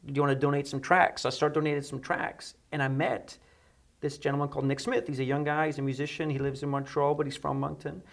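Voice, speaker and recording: A man's voice: a little high, little pitch movement, quick pace, creaky. A middle-aged man from the United Kingdom telling anecdotes as a guest in a conversational interview. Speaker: interview guest, electronic musician. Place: dark indoor studio set, seated.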